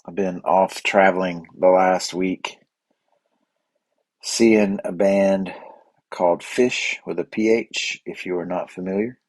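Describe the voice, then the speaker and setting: Slightly hoarse, rambling man. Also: low voice, fairly even intonation, takes it slowly, no room sound. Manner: rambling, with many fillers and restarts. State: a little hoarse and raspy. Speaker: podcast host. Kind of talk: casual solo podcast monologue.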